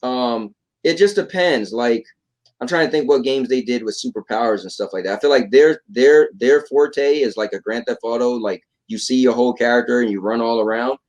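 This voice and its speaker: A man talks casually as part of a panel discussion. He rambles and circles back over his phrases, often tagging on small check-in questions. His pitch sits in an ordinary middle range for a man. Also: a little fast, darkish tone, poor audio. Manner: rambling, repeats himself, checks with "right?" and "you know". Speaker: talk show panelist. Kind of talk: casual chat about games and films.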